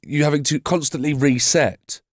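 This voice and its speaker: A man in his thirties from London hosting a podcast, chatty and quick to laugh as he asks questions. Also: a little high, steady tone, no echo, clean studio sound. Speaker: podcast host. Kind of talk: casual interview chat. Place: podcast studio.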